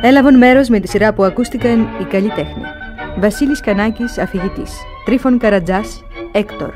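Formal woman announcer over music. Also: on the high side. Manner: measured, formal. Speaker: radio announcer. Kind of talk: scripted cast credits announcement. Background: instrumental music with brass.

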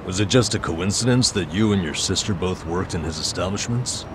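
Actor as a dry, low-voiced man. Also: fairly quick, noisy background, close to an everyday voice. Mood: puzzled, dry. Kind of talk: English video game cutscene dub. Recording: music behind the voice.

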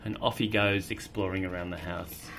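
A woman's voice: very deep voice, fairly even intonation, moderate pace, fairly bright tone, slight background noise, rough recording. A woman reading a picture book aloud to children, playfully chatting and explaining as she goes. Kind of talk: casual read-aloud for children with asides. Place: indoor room at a table.

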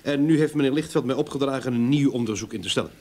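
An actor playing a jovial, teasing private detective in a radio play, with hesitant, repetitive interjections. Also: male voice, mid-range voice, quick pace, darkish tone, lightly acted. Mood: businesslike. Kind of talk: Dutch-language radio play acting. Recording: faintly noisy old radio drama recording.

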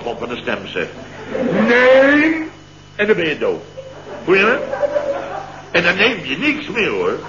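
A man's voice: a little high, exaggerated voice. An actor playing a blustering middle-aged father in a late-sixties Dutch radio comedy, telling stories with theatrical indignation and mimicked quotes. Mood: excited, theatrical. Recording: dull, roomy, noisy old radio recording.

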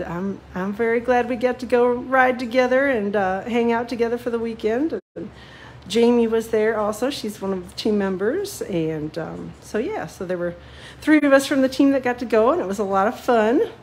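A woman's voice: on the high side, moderate pace, darkish tone, slight background noise, low-quality recording. A woman demonstrating card-making live, chatty and self-deprecating with lots of ums, a little sniffly from a cold. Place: home craft desk, seen from above.

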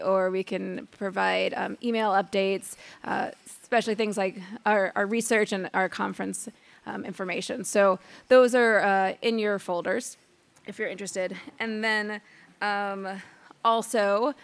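A woman's voice: mid-range voice, vocal fry. A woman, who has a cold, introduces a conference panel from a podium microphone in a large lecture hall, enthusiastic and chatty with many fillers. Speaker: conference moderator.

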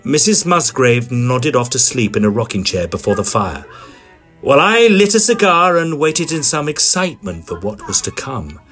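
A man playing an excitable Edwardian gentleman ghost hunter, telling his story in formal, theatrical audio drama style. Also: mid-range voice, moderate pace, faint music behind. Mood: suspenseful narration. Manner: formal, old-fashioned, dramatic phrasing. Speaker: narrator and lead character.

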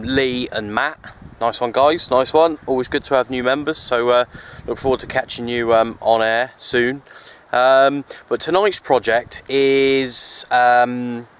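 A man's voice: mid-range voice, clear, resonant tone. A man in his thirties talking casually and a little hesitantly to a handheld camera outdoors, rambling through explanations as a hobby vlogger. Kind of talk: casual outdoor vlog talk. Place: outdoors in open countryside. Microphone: handheld camera at arm's length.

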